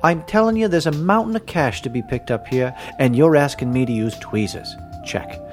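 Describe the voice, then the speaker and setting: A man voicing a pushy, scheming male lawyer who wheedles and coaxes in a raised, lively voice. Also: fairly high pitch, normal speed, music in the background, voice pushed up, lightly acted. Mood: wheedling.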